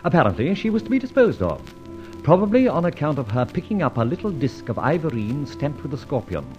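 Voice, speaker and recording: An actor playing a suave, debonair gentleman adventurer in an old radio serial, urbane, wordy and teasing in a mock-formal way. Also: fairly high pitch, sing-song, a little fast, muffled recording, faint music behind. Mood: wry, urbane.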